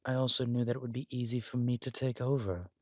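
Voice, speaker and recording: A man's voice: mid-range voice, steady tone, fast, no room sound, studio quality, lightly acted. A man playing a villainous conqueror king in a romantic roleplay audio, smug and flirtatious, teasing and haughty as he draws out his words. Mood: conspiratorial, cynical.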